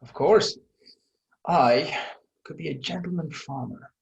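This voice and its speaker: A man acting as a swaggering bounty cop in a screen drama. His voice sits a little high for a man and crackles with gravel, and he talks in a laconic, teasing, self-assured way. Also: slow, lo-fi sound. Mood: easygoing. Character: wry, confident male bounty cop, smooth flirtatious swagger. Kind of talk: screenplay table read, dramatic dialogue.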